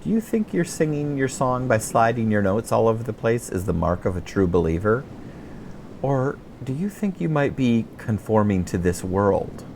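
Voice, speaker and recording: Man acting stern male worship leader, sanctimonious, measured scolding, audiobook dialogue, creaky voice, faint rumble. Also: animated, darkish tone, close to an everyday voice. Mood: pious reproach.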